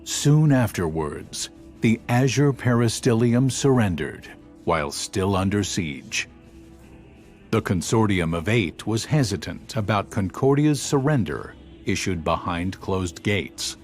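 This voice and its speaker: An actor playing a solemn chronicler, giving formal, measured scripted narration of a war in a video game. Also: male voice, lowish voice, animated, faint music behind. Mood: grave.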